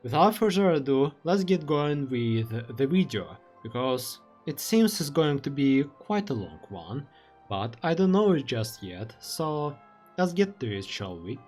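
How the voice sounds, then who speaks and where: A young man with a Russian accent reads a prepared monologue in careful English, pausing often on "uh" as he searches for words. His voice sits at a middle pitch. Faint music plays beneath him. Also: sing-song, a little mellow. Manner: careful, halting delivery with frequent uh fillers. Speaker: streamer. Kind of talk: reflective read-aloud monologue.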